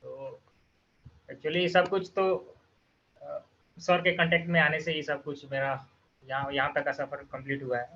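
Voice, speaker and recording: A young man from Bihar answers interview questions over a video call, speaking haltingly and starting over often. His voice is bright, tense and somewhat high, and the audio sounds dull and lo-fi. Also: a little hiss or hum. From Bihar, India. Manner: hesitant, halting, many fillers and restarts. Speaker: former student guest.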